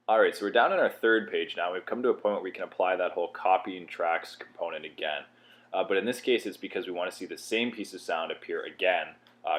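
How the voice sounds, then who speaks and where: A man with a low voice presents a software tutorial. He explains each step in an informal way, as if guiding a beginner through it.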